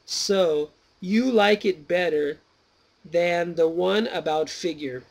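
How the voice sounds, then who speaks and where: A man reading aloud the lines of Socrates, a patient older philosopher who questions his pupil in slow, deliberate phrases. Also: sits high, full character voice, low-quality recording. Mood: probing.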